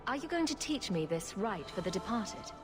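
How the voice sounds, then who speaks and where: A woman's voice: mid-range voice, sing-song, normal speed, music in the background, lightly acted. An actress playing a confident, wry young woman adventurer in a video game. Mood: direct, matter-of-fact. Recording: clear background game noise.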